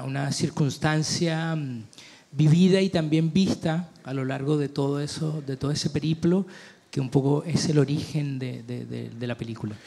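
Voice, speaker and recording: A middle-aged man from Chile, a film director answering festival interview questions thoughtfully, with many pauses and restarts. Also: mid-range voice, gravelly, darkish tone.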